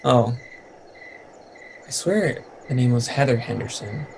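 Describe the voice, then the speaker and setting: A man's voice: medium pitch, animated, slow pace, vocal fry, a little mellow, a little hiss or hum, rough recording. A man playing a laid-back, teasing young stoner in a dramatic reading, rambling in casual slang. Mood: hazy, insistent.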